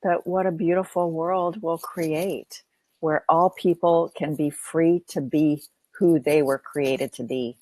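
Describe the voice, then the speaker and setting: A woman's voice: sits low, fairly even intonation, slow pace, dark tone, dry recording, low-quality recording. A woman counselor speaking as a podcast guest, earnest and affirming, often repeating phrases as she builds a point. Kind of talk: podcast interview.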